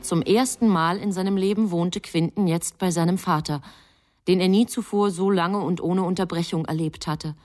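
A woman narrating a literary radio drama as a composed, measured storyteller. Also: on the low side, fairly even intonation, a little mellow, lightly acted. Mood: composed, reflective.

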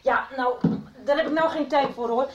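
A woman playing a busy, evasive mother in a radio soap, sounding hurried and distracted. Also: high voice, highs cut off, lightly acted. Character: busy, flustered, evasive mother organising an exhibition. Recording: roomy, distant, noisy radio recording.

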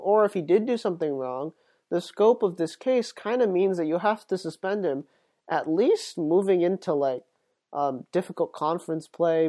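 A man's voice: fairly high pitch, warm tone. A man hosting his own sports podcast while sick and sniffly, talking casually and rambling with plenty of fillers and restarts. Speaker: podcast host.

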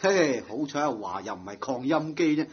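A man's voice: mid-range voice, animated, moderate pace, muffled recording, crowd noise, close to an everyday voice. An actor playing a flustered, henpecked middle-aged father in a Cantonese radio drama, grumbling and hesitant but fond.

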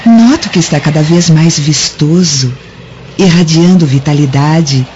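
A woman's voice: low-pitched, measured pace, a little mellow. Coy, seductive Roman noblewoman. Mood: coy, flattering. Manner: coy, insinuating. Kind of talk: radio drama acting. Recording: dull lo-fi radio drama, faint noise.

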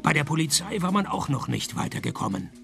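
A man playing a laconic, deadpan private detective who also narrates a radio play, in a husky voice. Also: fairly high pitch, quick pace, over music, full character voice. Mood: laconic narration. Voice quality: husky, gravelly. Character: male private detective, dry, unimpressed, probing, also the narrator. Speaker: lead actor and narrator. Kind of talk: scripted radio detective comedy.

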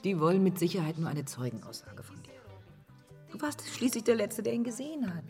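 A woman acting in a German radio drama as a wry, streetwise woman who teases in a deadpan, colloquial way. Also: medium pitch, a little fast, long reverb tail, soft background music, lightly acted. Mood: mocking. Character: wry, streetwise woman, mocking but tolerant.

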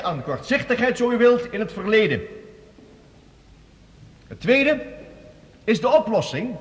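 An actor playing an eloquent, sardonic scientist-statesman, giving theatrical formal debate speeches in a radio play. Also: a little high. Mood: grave, ironic. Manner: theatrical, oratorical, pompous, with dramatic pauses. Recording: long reverberant hall, radio play production.

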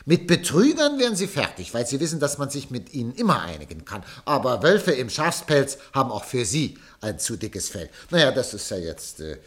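A man's voice: mid-range voice, wildly swooping pitch, moderate pace, few pauses, fairly bright tone. A man acting a wheedling, fawning newspaper director in a German radio play. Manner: wheedling, swinging from bluster to fawning. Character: fawning middle-aged male newspaper director.

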